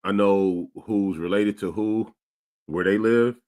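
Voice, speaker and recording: Low-voiced guy in his 40s, streetwise podcast talk, rambling stories. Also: steady tone, slow, darkish tone. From Stockton, California. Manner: streetwise, storytelling, repeats himself; reads live chat comments aloud. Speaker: podcast co-host. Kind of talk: casual podcast chat.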